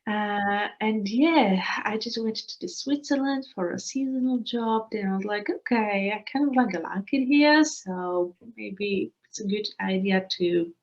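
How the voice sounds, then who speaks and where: Woman from Slovakia, 30s, English, halting interview guest, muffled call audio. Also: medium pitch. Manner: hesitant, many restarts and fillers, laughs often. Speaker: guest, expat coach. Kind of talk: casual interview answers. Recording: slightly dull lo-fi call audio.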